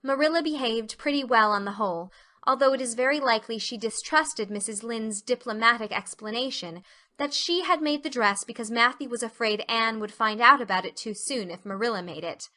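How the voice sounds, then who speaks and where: A woman's voice: on the high side, brisk, sharp tone. A woman narrator reading a scripted classic storybook for a dramatized audiobook.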